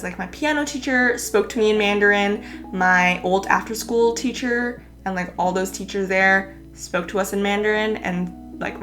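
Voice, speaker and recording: A young woman from California talks through a vlog in a casual, chatty way, filling her sentences with "like." Her voice sits at a middling pitch for a woman. Soft music plays faintly behind her. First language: Cantonese. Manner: chatty, filler-heavy, lots of "like". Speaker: YouTuber. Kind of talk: casual chat answering tag questions.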